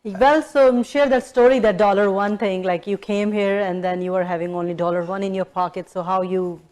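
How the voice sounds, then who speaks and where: A woman with a medium-pitched voice hosts a casual interview. She asks her questions in an informal, loosely phrased way, in a conversational register. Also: fairly even intonation, a little fast, darkish tone. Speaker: interview host.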